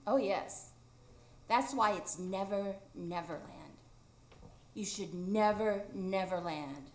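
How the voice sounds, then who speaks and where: A woman's voice: medium pitch, slow pace, lightly acted. A woman acting a playful, carefree and stubborn ageless boy in a live radio drama. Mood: matter-of-fact. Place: improv theatre stage, live audience. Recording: roomy live stage recording.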